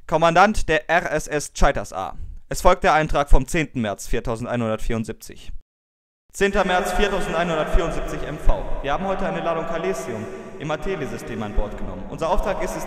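A man playing a stern, wary starship captain in a science fiction audio drama, formal, measured and grave. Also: fairly high pitch, fairly bright tone. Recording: very echoey, long reverb, distant.

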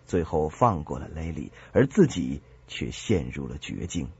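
A man's voice: rumbling low, very animated, normal speed, gravelly. A man reading scripted dramatic narration as the narrator of a story. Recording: dry, slightly dull studio sound.

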